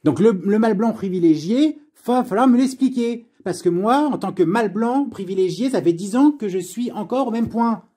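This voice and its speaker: A man's voice: high-pitched, expressive, normal speed, few pauses, warm tone, husky. A man from France delivering an unscripted personal rant, blunt, sarcastic and exasperated, with plenty of swearing.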